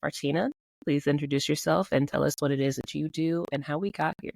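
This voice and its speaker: A woman's voice: low voice, fairly even intonation, gravelly, darkish tone, dry recording. Encouraging woman podcast host. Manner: encouraging, with many quick affirmations. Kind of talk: casual podcast interview.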